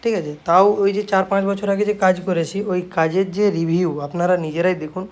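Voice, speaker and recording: Fast-talking Bengali man from West Bengal. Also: sits high, steady tone. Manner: repetitive, habitual confirming tags. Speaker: speaker repair shop owner. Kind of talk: casual shop video, showing work to viewers.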